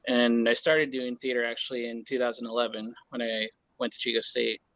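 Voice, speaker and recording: A man with an American accent talking casually and haltingly as a panelist, on dull lo-fi call audio. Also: medium pitch, flat delivery, a bit bright, no echo. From California, United States. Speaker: roundtable panelist, theater performer. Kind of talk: casual roundtable talk.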